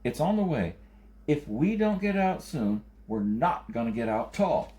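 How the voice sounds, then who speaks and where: A man's voice: medium pitch, sing-song, a little slow, mellow, voice pushed up. A man voicing an agitated, urgent male farmer who senses earthquakes, in an audiobook story. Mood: urgent alarm. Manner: urgent, emphatic. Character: agitated, screeching male quake-sensing farmer, urgent and insistent.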